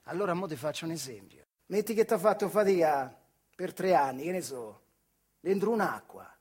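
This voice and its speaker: An actor playing a bitter, weary retired factory worker in a theatrical monologue, with a central Italian accent from the Marche, swinging between muttering and outbursts. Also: male voice, fairly high pitch, animated, moderate pace, darkish tone, husky. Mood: brooding, confiding. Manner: colloquial, rhetorical questions, swings from muttering to outbursts. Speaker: stage actor. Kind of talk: theatrical monologue in dialect-tinged speech.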